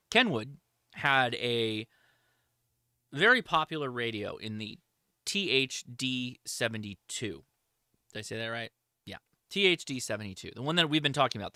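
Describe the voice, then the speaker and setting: A man's voice: medium pitch, bright, forceful tone, dry recording, studio quality. A man hosting a livestream, answering chat questions in a casual, informal, enthusiastic hobbyist way.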